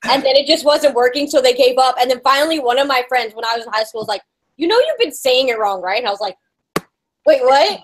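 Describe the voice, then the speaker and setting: A woman's voice: high voice, animated, quick pace, low-quality recording. A woman on a group video call, telling casual anecdotes in a chatty way. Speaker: livestream guest. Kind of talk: casual storytelling chat.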